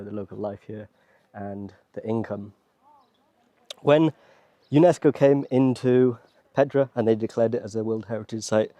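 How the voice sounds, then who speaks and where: A British man from Wales talking in a relaxed, conversational, appreciative way, like a casual travel vlog. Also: on the low side, no echo. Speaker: travel vlogger. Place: outdoors and inside rock-cut tombs at a desert site.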